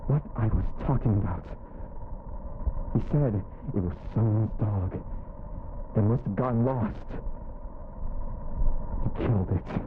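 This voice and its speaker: An actor playing a somber man slowly recounting a childhood memory in an analog horror recording. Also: on the low side. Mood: somber, halting. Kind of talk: slow recorded testimony in an analog horror video. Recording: tinny phone-like tape, clear noise.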